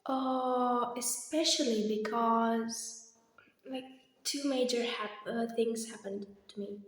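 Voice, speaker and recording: Slow-talking young woman in a very echoey room. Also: fairly high pitch. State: slightly hoarse. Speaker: vlogger. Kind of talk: casual personal chat, rambling updates. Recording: very echoey, reverberant empty room.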